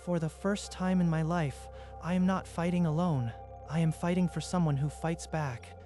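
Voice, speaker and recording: A man's voice: fairly high pitch, steady tone, normal speed, warm tone, faint music behind, close to an everyday voice. A man voicing an anxious, earnest young underdog in a dramatized manga dub, speaking with intensity. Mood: determined.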